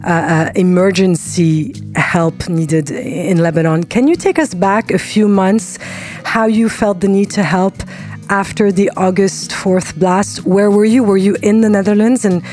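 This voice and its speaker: Mellow-voiced woman hosting a radio interview. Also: mid-range voice, steady tone, soft background music. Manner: measured, scripted questions. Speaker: radio show host.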